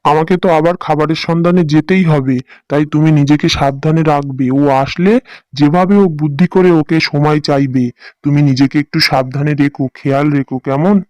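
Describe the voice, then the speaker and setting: A man's voice: on the high side, fairly even intonation, brisk, darkish tone, dry recording, muffled recording, close to an everyday voice. A man voicing an anxious, fretful husband sparrow in a cartoon, speaking with worry. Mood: concerned. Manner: worried, fretting. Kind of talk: cartoon voice acting.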